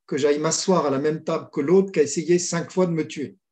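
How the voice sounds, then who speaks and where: A man's voice: a little high, a little fast, darkish tone. A man working as a mediator, talking through ideas with frequent fillers and restarts in a conversational interview. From France. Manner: hesitant, many restarts and fillers, storytelling. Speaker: mediator guest.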